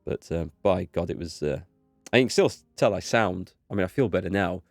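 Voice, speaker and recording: A man's voice: sits low, moderate pace, dry recording, clean studio sound, soft background music. A man with a cold and a blocked-up nose, casually commenting on his game as he plays and thinks aloud. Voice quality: slightly blocked, stuffy nose. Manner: easygoing, thinking aloud, reads in-game text. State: recovering from a cold, voice not back. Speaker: gaming video host. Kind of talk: relaxed let's play commentary.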